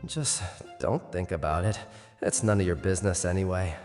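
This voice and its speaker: A man's voice: deep voice, normal speed, darkish tone, close to an everyday voice. A man voicing a nervous, introverted young office worker in a webtoon dub, evasive and easily flustered. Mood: anxious muttering. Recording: reverberant hall sound with music underneath.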